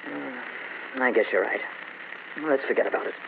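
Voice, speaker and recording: An actor playing a curious, placating, slightly uneasy husband in an old time radio horror drama, on a noisy, tinny vintage recording. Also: male voice, medium pitch, normal speed, creaky. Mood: casual, curious.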